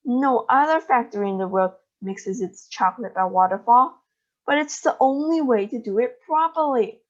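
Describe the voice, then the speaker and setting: A woman's voice: a little high, expressive, moderate pace. A woman voicing an eccentric, boastful male chocolate factory owner in a storybook reading. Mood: exuberant. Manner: exuberant, boastful, theatrical. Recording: dull lo-fi recording.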